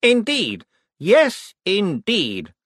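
A deranged man with delusions of grandeur, slurring and mumbling in broken phrases. The pitch sits raised and lurches widely. It is storybook character work, feverish with a streak of pomposity. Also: big pitch swings, slow, fairly bright tone, no room sound, clean studio sound, heavily acted. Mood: imperious. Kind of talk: audiobook character acting.

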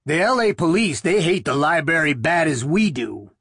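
Male reader doing gruff, sullen, excitable protester, voice lifted and husky. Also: normal speed, dry recording, studio quality, exaggerated voice. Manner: gruff, excitable, rises to falsetto. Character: burly gruff sullen man, late thirties.

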